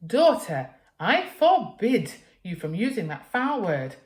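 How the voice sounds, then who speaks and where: A woman's voice: medium pitch, sing-song, slow pace, pitched above the performer's own voice. Storybook mother, played by a woman: fussy, house-proud, exasperated, wailing. Echoey room sound. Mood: wailing, indignant. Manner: prim, wailing, bellowing.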